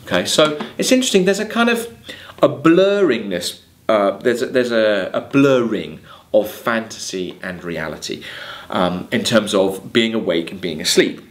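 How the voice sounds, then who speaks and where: A man's voice: lowish voice, animated, normal speed. A man in his thirties with an English accent, lecturing on literature, reading verse aloud and explaining it in hesitant, exploratory phrases. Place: small plain room, seated at a desk.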